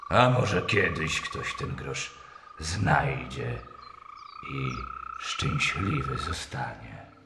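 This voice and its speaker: Man playing a deep, creaky noble prince. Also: very animated, heavily acted. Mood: solemn, generous. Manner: solemn, stately, deliberate phrasing. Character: grateful, generous, solemn noble prince. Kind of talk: radio play acting. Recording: echoey, noisy recording with ambience.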